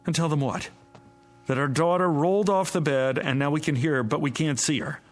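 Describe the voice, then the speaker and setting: A man's voice: on the high side, brisk, faint music behind, lightly acted. An actor playing a frantic father in a radio drama, speaking with urgent emotion. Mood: tense. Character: frantic father whose small daughter has vanished.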